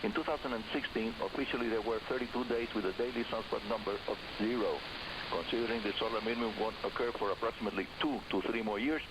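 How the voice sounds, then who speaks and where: A man with a Cuban accent, a native Spanish speaker, hosting a radio hobby program in a deliberate announcing style over a telephone line on shortwave radio. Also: lowish voice. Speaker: radio host. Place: on a phone line linked to the studio. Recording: hissy, noisy shortwave broadcast, telephone-line audio.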